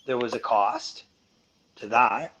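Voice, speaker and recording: A man talking on a podcast as a guest, laying out money advice in an emphatic, explanatory way and slowing down to stress his points. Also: mid-range voice, sharp tone, slight background noise, rough recording. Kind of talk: casual podcast chat.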